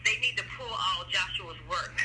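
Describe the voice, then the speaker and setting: A woman's voice: medium pitch, moderate pace, crowd noise. A woman on a phone line, an indignant mother pressing the caller with insistent questions. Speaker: prank call target, a mother.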